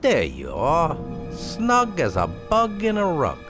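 An actor playing a smooth, menacing stranger with a folksy, falsely friendly manner in an old radio thriller. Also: male voice, a little high, wildly swooping pitch, slow, music in the background, exaggerated voice. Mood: breezy, insistent. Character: smooth, menacing male stranger driving a lone woman. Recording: noisy old radio drama recording.

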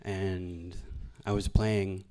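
A young man from Alberta, doing stand-up storytelling on a stage microphone in a deadpan, halting, self-deprecating way. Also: low-pitched, a faint crowd. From Alberta, Canada. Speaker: stand-up comedian. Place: live venue stage before an audience.

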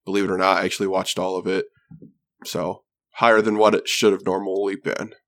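Male co-host, lowish voice, loose and rambling, casual reviewer. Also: little pitch movement, brisk, fairly bright tone. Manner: rambling, lots of fillers and restarts. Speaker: podcast co-host.

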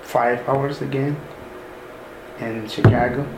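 A man in his thirties from Sudan, softly recalling his move abroad in an unhurried way with many pauses. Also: medium pitch, steady tone. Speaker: interviewee. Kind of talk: casual personal recollection. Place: room in a mosque. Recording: echoey room with ambient noise.

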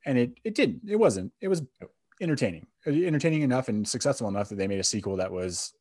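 A man's voice: medium pitch, big pitch swings, fast, darkish tone, dry recording, studio quality. A man co-hosting a podcast in a casual, conversational style, giving his opinions bluntly. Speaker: podcast co-host. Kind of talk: casual chat.

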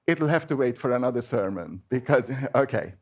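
A man's voice: medium pitch, animated, normal speed, muffled recording. A man with a Hungarian accent, a native Hungarian speaker, giving an informal sermon in a chatty, hesitant way with many restarts. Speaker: preacher.

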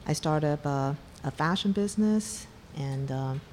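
A woman's voice: deep voice, a little slow, a little mellow, in a large hall, slight background noise. A middle-aged woman from Taiwan, a venture investor telling her startup story in an interview, candid and blunt. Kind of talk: conversational interview.

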